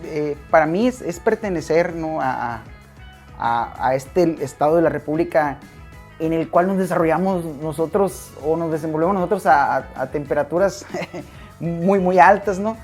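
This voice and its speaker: A man's voice: a little high, normal speed, darkish tone, soft background music. A man in his thirties with a Sonoran Mexican accent, speaking with stumbling restarts in a good-humored interview. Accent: Sonoran Mexican Spanish. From Mexico, Ciudad Obregón, Sonora. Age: 30s.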